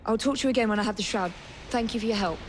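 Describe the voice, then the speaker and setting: An actress playing a brisk, purposeful young Victorian assassin in a video game cutscene. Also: female voice, medium pitch, a little hiss or hum, close to an everyday voice. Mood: focused, polite. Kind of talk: dramatic game cutscene acting.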